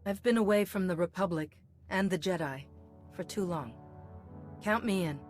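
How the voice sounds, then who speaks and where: An actress playing a composed, resolute Jedi heroine in a video game, calm and direct. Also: female voice, fairly low pitch, moderate pace, faint music behind, lightly acted. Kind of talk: scripted video game acting.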